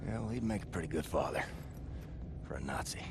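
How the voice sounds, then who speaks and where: Very deep, gravelly American soldier. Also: male voice, fairly quick, background noise. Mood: deadpan. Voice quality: low gravelly, breathy half-whisper. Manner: terse, deadpan, murmuring. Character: world-weary American soldier, stoic resistance fighter. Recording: loud music and machinery behind.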